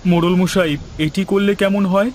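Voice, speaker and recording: A man's voice: sits high, fairly even intonation, normal speed, darkish tone, a little hiss or hum, voice pushed up, close to an everyday voice. A man voicing a resourceful village chicken seller in cartoon dialogue, earnest, confident and respectful. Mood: earnest, determined.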